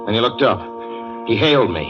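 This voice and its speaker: An actor playing a haunted, anxious motorist who tells his own story with brooding, deliberate drama in an old-time radio style. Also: medium pitch, lively intonation, close to an everyday voice. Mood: uneasy. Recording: dull lo-fi radio recording, loud music behind.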